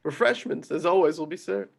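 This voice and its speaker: A man's voice: a little high, a little fast, gravelly. A man playing a parish priest in a script table read, formally rattling off announcements to the congregation. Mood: formal, droning.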